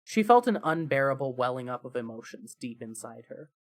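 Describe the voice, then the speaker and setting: Dramatic storytelling narrator, medium-pitched voice. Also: very animated, fairly quick. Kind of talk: dramatic scripted narration of fan fiction.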